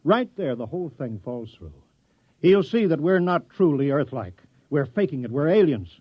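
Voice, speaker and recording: An actor playing a bossy, folksy small-town mayor who cajoles fussily, in 1950s radio comedy style. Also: male voice, on the high side, sing-song, moderate pace, no echo. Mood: pleading. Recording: dull, dry vintage radio recording.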